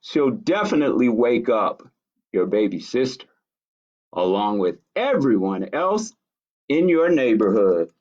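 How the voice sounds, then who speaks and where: Male children's storytime reader: slow, very animated delivery with picture asides, slightly muffled lo-fi sound. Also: lowish voice. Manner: expressive, commenting on pictures. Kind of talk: reading picture books aloud to children with asides. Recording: dull lo-fi audio.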